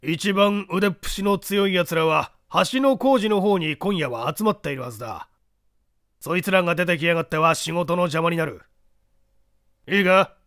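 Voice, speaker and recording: A man voicing a bold young ringleader of a workers' gang in an audiobook, rough, commanding and threatening. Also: high voice, moderate pace, fairly bright tone, no echo, studio quality, pitched above the performer's own voice.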